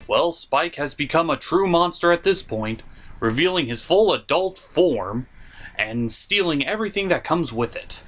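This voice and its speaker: Slow, swooping comic review show host. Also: medium pitch, expressive. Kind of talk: scripted comic episode review.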